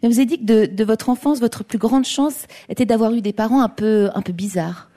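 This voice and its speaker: Lively woman presenter. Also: on the high side, fairly quick. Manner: lively presenter, quotes and introductions. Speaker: host. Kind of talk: hosting a studio show.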